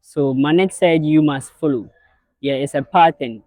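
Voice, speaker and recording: A young man hosting a podcast with a fairly high voice. He keeps the interview loose and friendly, dropping many yeahs and okays between questions. The sound is dry and close. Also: unhurried, darkish tone, no echo. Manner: friendly, many fillers like yeah and okay. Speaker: podcast host. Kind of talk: casual interview.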